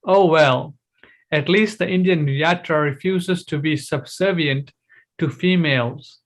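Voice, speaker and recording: Male host, slightly high voice, reads text aloud steadily on a livestream. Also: a little slow. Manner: brief agreeing replies, then reads text aloud steadily. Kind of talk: casual discussion and reading aloud.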